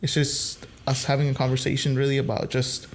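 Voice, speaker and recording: A man's voice: mid-range voice, monotone, brisk, a little hiss or hum. A man from El Salvador who grew up partly in the US, speaking as a guest in a casual podcast conversation. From El Salvador, moved to the US at age eight. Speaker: podcast guest, youth minister.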